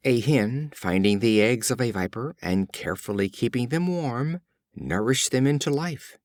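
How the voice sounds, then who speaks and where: A man reading fables as a scripted audiobook narration, in a measured storybook manner. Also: mid-range voice, lively intonation, a little mellow, dry recording, studio quality. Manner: measured, storybook reading with acted character lines.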